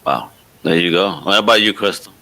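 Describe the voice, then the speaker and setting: Male podcast host, forceful bright tone, effusive, casual slang, chatting with guests. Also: low-pitched, fairly quick. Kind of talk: casual video podcast interview.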